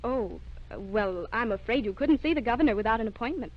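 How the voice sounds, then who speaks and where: An actress playing a brisk, businesslike, officious switchboard receptionist in an old-time radio drama. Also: a little high, expressive. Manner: businesslike, offhand. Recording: dull vintage radio recording.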